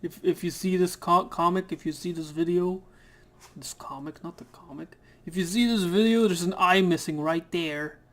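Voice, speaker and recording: A man's voice: sits high, moderate pace. A man whose first language is Dutch, chatting casually over a game he plays and reading its text aloud. He often stumbles and corrects himself. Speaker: let's player.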